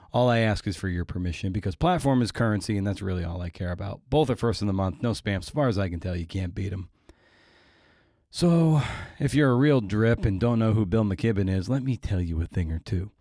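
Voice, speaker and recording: A man's voice: fairly low pitch, darkish tone, no echo, studio quality. A man hosting a podcast, delivering a casual, irreverent intro with self-mocking jokes. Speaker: podcast host.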